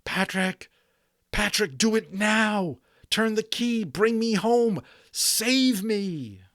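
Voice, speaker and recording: A terrified young boy performed by a male storyteller cries out for rescue in a dramatic storybook reading. The voice is pushed high, urgent and pleading. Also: lively intonation, slow pace, a bit bright, no echo, studio quality, full character voice. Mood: panicked pleading.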